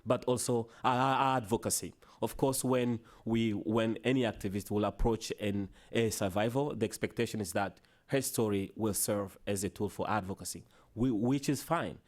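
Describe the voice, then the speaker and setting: Halting Swahili-speaking man presenting slides. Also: on the low side. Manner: halting, with many restarts and "you know" fillers. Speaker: conference panelist. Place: conference hall.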